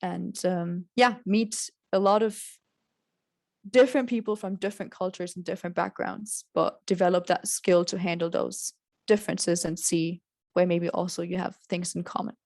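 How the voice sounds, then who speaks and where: A woman hosting an online session, explaining a program clearly. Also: lowish voice, steady tone, normal speed, darkish tone, dry recording. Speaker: host. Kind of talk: virtual presentation. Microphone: video call.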